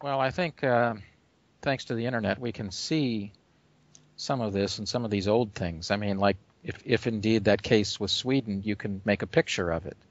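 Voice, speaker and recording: A man's voice: fairly low pitch, moderate pace, no echo. A man hosting a radio interview, chatty and loose, trailing off with fillers. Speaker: radio host. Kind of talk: casual interview talk. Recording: dull lo-fi audio.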